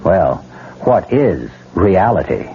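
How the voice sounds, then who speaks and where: A male radio drama host narrates in a low, dramatic voice that rises and falls, posing probing questions. The sound is muffled, from an old radio broadcast. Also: big pitch swings, takes it slowly, slight background noise. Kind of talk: theatrical narration.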